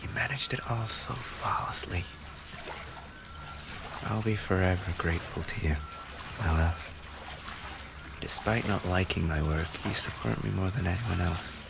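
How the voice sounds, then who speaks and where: A man playing an affectionate, injured racing driver boyfriend in an intimate roleplay audio, speaking softly and tenderly, often close to a whisper. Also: low voice, measured pace, gravelly, pauses often, noisy background. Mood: tender, grateful.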